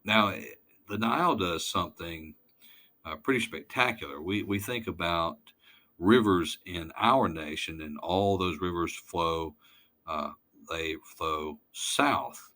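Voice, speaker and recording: A man lecturing informally over slides, halting and deliberate, putting weight on key words. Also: sits low, bright, forceful tone.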